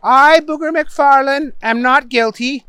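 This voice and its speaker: Performer doing a weary, exasperated judge, bright-voiced. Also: high voice, measured pace, no echo, pitched above the performer's own voice. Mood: insistent. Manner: questioning, insistent, spells out choices. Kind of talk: comic character voice in storytelling.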